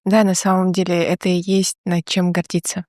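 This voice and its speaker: A woman guest in a podcast interview, speaking earnestly and confidently, sometimes in quick bursts. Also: on the low side, completely flat delivery, darkish tone, no room sound, clean studio sound. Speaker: guest entrepreneur. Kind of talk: casual interview talk.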